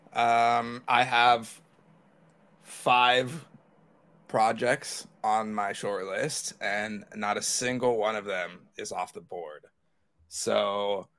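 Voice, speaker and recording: A man's voice: mid-range voice, a bit bright. Male podcast panelist, mid-range voice, informal conversational delivery, loose with swearing. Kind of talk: casual chat.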